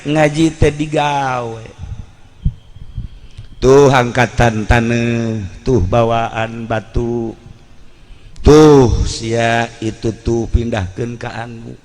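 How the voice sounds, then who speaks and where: A man's voice: mid-range voice, steady tone, drawn-out words, darkish tone. A man with a Sundanese accent preaching through a folk tale, in an earthy, blunt storytelling way that acts out the dialogue. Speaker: Islamic preacher.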